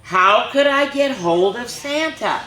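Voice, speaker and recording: A woman's voice: fairly high pitch, expressive, slow, bright, forceful tone, roomy sound, rough recording. A woman reading a rhyming picture book aloud to children, with chatty asides between pages. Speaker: children's storyteller.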